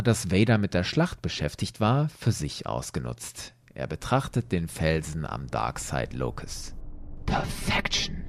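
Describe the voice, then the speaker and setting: A man narrating a comic-book tale in a low voice, his pitch rising and falling with a lot of expression. He talks slowly and drops in wry, casual asides, with a clear background noise under the recording. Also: a little mellow. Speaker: podcast host and storyteller. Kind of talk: retelling a comic as an audio story.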